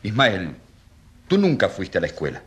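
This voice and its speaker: Impassioned country priest, swooping intonation. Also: male voice, low-pitched, huge pitch swings, normal speed. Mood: insistent, ironic. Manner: rhetorical, insistent questioning. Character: rural priest on trial, defending peasants with indignant irony. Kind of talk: courtroom radio drama acting.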